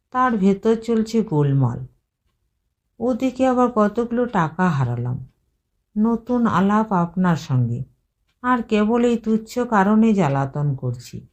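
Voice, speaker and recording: A woman's voice: mid-range voice, darkish tone. A woman reading a sweet-talking borrower man. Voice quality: clear, crisp voice. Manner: sweet, ingratiating, apologetic. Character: smooth-talking male tenant, always borrowing. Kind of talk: read as quoted dialogue in an audio story.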